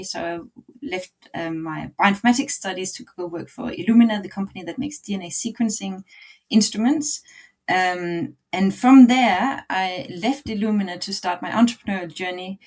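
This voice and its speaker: Woman guest on a webinar, calm and fluent, some ums, ordinary pitch. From Denmark. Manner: measured, fluent, occasional fillers and light laughs. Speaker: guest entrepreneur. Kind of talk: interview answer.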